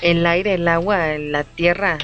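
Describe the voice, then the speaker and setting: A woman's voice: on the low side, steady tone, slow pace, dull audio. A woman hosting a casual radio talk, agreeing often and adding short points. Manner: affirming, many short backchannels. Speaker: radio host.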